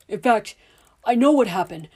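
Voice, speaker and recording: A woman voicing an angry, protective middle-aged father who shouts defiantly. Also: medium pitch, fairly even intonation, normal speed, husky, lightly acted. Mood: furious.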